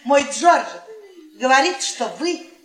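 A high-voiced, brash middle-aged wife, needling and insistent in the manner of a stage radio play. She repeats phrases to mock. The sound is roomy, with an audience audible behind her. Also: animated, slow pace, a crowd in the background. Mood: taunting. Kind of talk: theatrical radio play acting.

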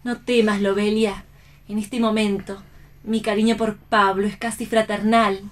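An actress playing a tender, idealistic heroine in a radio play, earnest and dramatic. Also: female voice, medium pitch, little pitch movement, a little slow, natural voice. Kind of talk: theatrical radio play reading.

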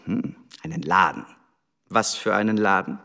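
An actor playing a cynical, smug, imperious embassy secretary in a radio drama, with a theatrical, condescending delivery that draws out key words. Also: male voice, sits low, pushed and bright. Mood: contemptuous anger. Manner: theatrical, condescending, drawn-out emphasis.

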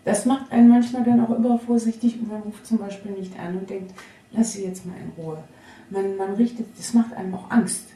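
A middle-aged or older woman in candid personal conversation, speaking in a reflective, halting way. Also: mid-range voice, fairly even intonation, dark tone. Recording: echoey room, distant from mic.

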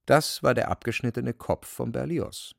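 A man narrating a literary radio play as a storyteller, expressive, theatrical and deliberate, with a gravelly voice. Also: mid-range voice, dry recording, studio quality. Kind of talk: dramatic audiobook-style storytelling for radio play.

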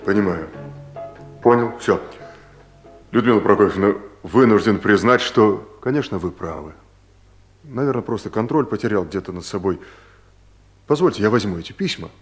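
A smooth, conciliatory male office deputy played by an actor, with a lowish voice and polite, self-justifying manner. The performance is Soviet radio drama, with faint music behind it. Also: animated, normal speed, pauses often, close to an everyday voice. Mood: contrite. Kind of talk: Soviet radio play acting.

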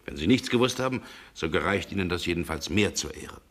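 An actor playing a guarded, coolly irritated gentleman in a 1960s German radio drama, speaking in a formal, measured and aloof manner. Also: low voice, lively intonation, exaggerated voice. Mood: irritated, dismissive.

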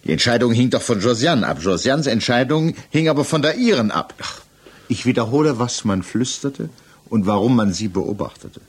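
An actor playing a stern, probing examining magistrate in a 1960s German radio play, questioning in a formal, pressing manner. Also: male voice, medium pitch, expressive, normal speed, slightly rough. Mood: insistent, accusing.

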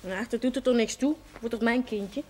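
A woman's voice: fairly high pitch, moderate pace, close to an everyday voice. A woman playing a brash, cheerful young pregnant woman in a television period drama, slangy and unashamed. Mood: defiant, frank. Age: young adult. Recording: noisy old television soundtrack.

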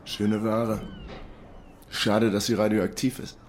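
A man's voice: lowish voice, level tone, slow pace, slight background noise. A man playing a wary, wry, shady company boss in a radio crime drama, dry and skeptical.

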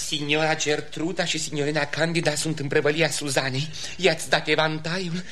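An actor playing a fussy, impatient baron in a classic radio theatre comedy, agitated and theatrical. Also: male voice, a little high, fairly even intonation, pushed and bright, slight background noise. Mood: eager.

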